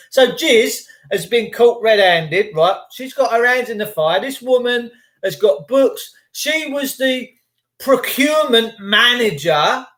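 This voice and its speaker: A man's voice: high-pitched, a bit bright. An Englishman hosting a livestream, rambling through conspiratorial tangents, swearing freely and answering chat. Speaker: livestream host reading chat. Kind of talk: rambling live chat monologue.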